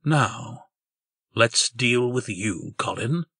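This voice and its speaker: A stern animal rebel leader played by a man speaks with a very bright, cutting edge and a rough rasp. The delivery is grave and forceful, as in a comic dub, set slightly back from the mic with faint music behind. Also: mid-range voice, animated, extremely slow, no echo, studio quality, far from the mic, exaggerated voice. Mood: scheming.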